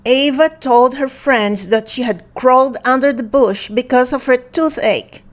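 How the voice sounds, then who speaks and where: A woman reading a children's storybook aloud in an expressive, deliberate storytelling manner. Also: sits high. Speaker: storybook reader.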